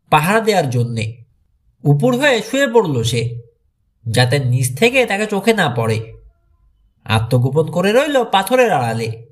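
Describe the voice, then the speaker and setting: High-pitched, animated male audiobook narrator. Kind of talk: dramatic scripted narration of a mystery thriller.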